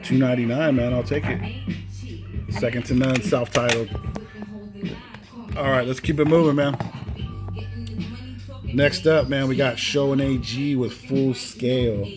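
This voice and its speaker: A man's voice: medium pitch, steady tone, a little slow, long pauses. A man hosting a casual, unscripted collector's vlog in a laid-back manner, often tagging phrases with "man.". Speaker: host showing off a cassette collection. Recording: music playing behind the voice. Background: music.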